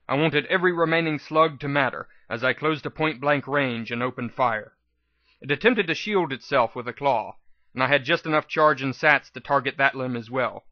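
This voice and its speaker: A man's voice: medium pitch, dry recording, dull audio. A man reading a scripted first-person story aloud as a dramatic audiobook narration. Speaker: audiobook reader.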